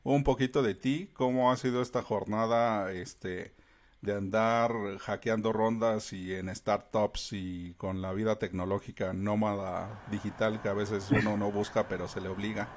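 Low-voiced podcast host. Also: male voice, fairly even intonation, measured pace. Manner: friendly, filler-heavy questions with "este". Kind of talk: casual interview hosting.

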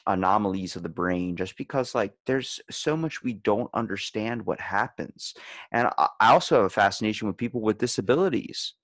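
A man's voice: lowish voice, animated, brisk, a bit bright, no echo, studio quality. A man in a casual podcast chat, rambling as host and animatedly telling stories, acting out the lines he quotes.

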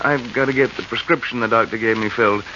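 An actor playing an anxious, devoted husband in a 1940s radio drama, hesitant and earnest. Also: male voice, mid-range voice, steady tone, fast talker, pushed and bright, natural voice. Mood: reassuring, evasive. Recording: muffled, hissy old radio broadcast.

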